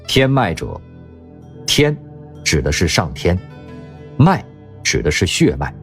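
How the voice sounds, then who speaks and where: Lively male audio drama narrator. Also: sits low, slow, soft background music. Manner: expressive storytelling.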